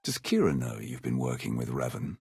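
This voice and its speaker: Dark Sith warrior for a video game: rock-bottom pitch, husky gravel, curt and ruthless. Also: male voice, sing-song, a little fast, no echo, clean studio sound, full character voice. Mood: blunt, wary. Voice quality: gravelly, very deep. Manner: terse, commanding. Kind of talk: dramatic video game acting.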